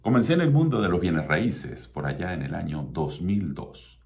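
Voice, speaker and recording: A middle-aged Venezuelan man with an extremely deep voice hosts his own radio show. He speaks with a Venezuelan Spanish accent in a measured, promotional way. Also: big pitch swings, darkish tone. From Venezuela (Mérida). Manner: measured, promotional, repeats contact details. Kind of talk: scripted radio talk show monologue.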